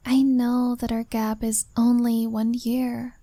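A woman voicing a shy young woman who confesses her feelings to a younger friend, speaking softly and often in a whisper. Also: on the high side, little pitch movement, slow, no room sound. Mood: nervous. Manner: soft, hesitant, often whispered. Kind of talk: ASMR-style roleplay monologue.

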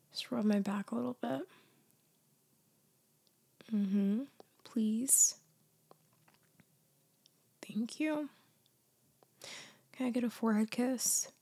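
A young woman in a soft, often whispered ASMR girlfriend roleplay, drowsy and close to the mic, her voice worn down as she loses it to a cold. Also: fairly high pitch, creaky, husky. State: losing her voice, congested.

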